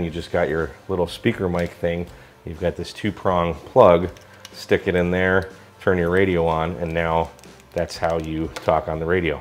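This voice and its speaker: Male American product reviewer, low-pitched, enthusiastic and colloquial, casual hands-on demo delivery.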